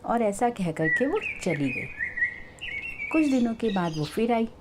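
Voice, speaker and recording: A woman's voice: on the high side, very animated, a little slow, very noisy. A woman storyteller narrating a scripted tale.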